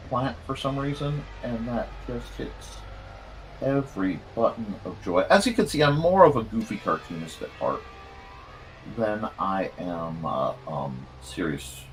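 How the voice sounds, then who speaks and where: A man with a slightly croaky, strained voice chatting casually and goofily, half to himself, as he hosts a drawing stream. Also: deep voice, slight background noise, low-quality recording. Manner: goofy, rambling, half talking to himself. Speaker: cartoonist hosting a live art show. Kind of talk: casual chat while drawing.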